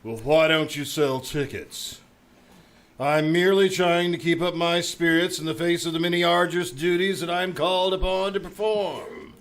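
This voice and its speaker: A man's voice: fairly high pitch, normal speed, fairly bright tone. A man playing a pompous, blustery middle-aged principal, wordy and grand, in old-time radio comedy style. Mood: grumbling. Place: small town theater stage. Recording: live stage recording with background noise.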